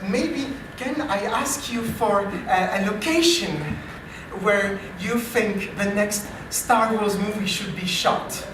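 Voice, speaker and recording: A man with a French accent, an AI researcher hosting a live improv comedy show, talking in a dry, self-deprecating way with halting restarts. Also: sits high, noisy background. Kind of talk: live comedy stage talk. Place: live comedy meetup venue. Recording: distant, very echoey live venue.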